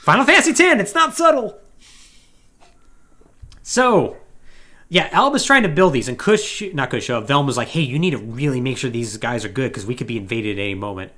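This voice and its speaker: A man's voice: medium pitch, expressive, moderate pace, pushed and bright. A man hosting a podcast, recapping a video game storyline in a casual, conversational way with wry asides. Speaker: podcast host.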